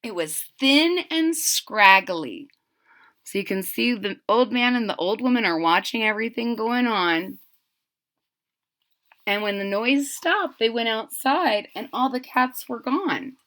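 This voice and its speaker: A woman with a bright, slightly high voice reads a storybook to young children. She is expressive and unhurried, taking time to explain in simple words. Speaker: storybook reader.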